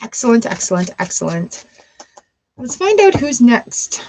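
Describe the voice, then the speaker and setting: A woman's voice: fairly high pitch, big pitch swings, measured pace, darkish tone, a little hiss or hum, poor audio. A woman doing a casual tarot reading, reading card texts aloud and breaking off into playful asides. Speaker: tarot reader.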